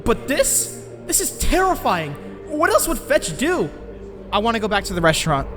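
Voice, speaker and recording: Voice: medium pitch, huge pitch swings, normal speed, over music. An actor playing an anxious, driven teenage boy who narrates his own story intensely in a dramatic comic dub.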